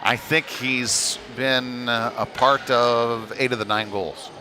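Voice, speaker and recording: A man doing live hockey play-by-play in a loose, conversational way, with hesitant pauses mid-call. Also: mid-range voice, fairly even intonation. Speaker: play-by-play commentator. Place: ice rink. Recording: noisy live rink ambience.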